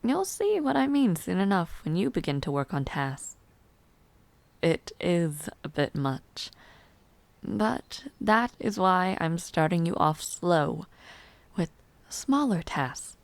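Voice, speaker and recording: A woman playing a refined, gentle, tired noblewoman in a fantasy audio roleplay, speaking formally and softly in measured phrases with hesitant pauses. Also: fairly low pitch, big pitch swings, creaky, no room sound, heavily acted. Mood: composed, patient. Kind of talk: fantasy audio roleplay, intimate one-sided dialogue.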